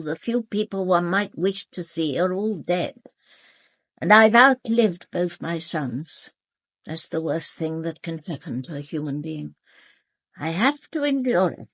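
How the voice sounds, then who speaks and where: An actress playing a proud, grieving aristocratic woman in her eighties in a radio drama, with an upper-class British accent and a measured, clipped, formal manner. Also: on the low side, animated, dry recording. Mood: stoic, guarded. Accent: upper-class British English. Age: 80s. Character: proud, grieving elderly aristocratic mother, stoic and imperious. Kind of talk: radio drama with first-person narration.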